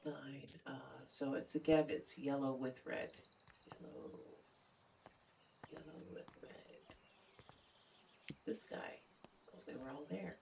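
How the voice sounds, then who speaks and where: A woman with a stuffy nose playing a video game, thinking out loud in casual commentary and sometimes dropping to a mutter or whisper. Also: extremely low pitch, fairly even intonation, slow pace, creaky, long pauses, recorded from a distance, noisy background. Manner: thinks aloud, muttering and half-whispering while working things out. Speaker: gameplay streamer. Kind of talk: casual let's play commentary.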